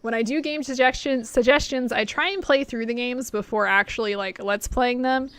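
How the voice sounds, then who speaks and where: A young woman with a slightly high voice chats her way through a game stream, rambling with plenty of fillers and little laughs. Her voice sounds worn and a touch hoarse from hours of talking, and a faint noise sits under the recording. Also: moderate pace, fairly bright tone. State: voice going hoarse after long stream. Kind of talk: casual chat while gaming.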